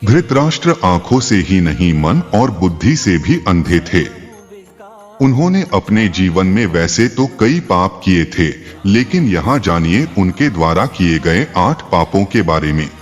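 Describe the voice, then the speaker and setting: A man narrating a scripted religious tale as a storyteller, in a measured, explanatory way. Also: low voice, very animated. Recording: long reverb, faint music behind. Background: faint background music.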